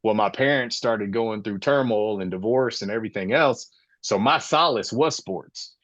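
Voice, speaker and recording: A man in his late forties hosts a casual sports podcast and tells personal stories with real passion. His voice sits low. The audio is a bit muffled and dry. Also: normal speed, a bit bright, no echo. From Florida, USA. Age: 40s. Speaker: podcast host.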